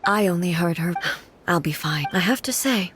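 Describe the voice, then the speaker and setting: A reserved goddess ruler. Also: female voice, lowish voice, animated. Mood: subdued. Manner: quiet, reserved and measured. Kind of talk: video game voice acting. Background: background music.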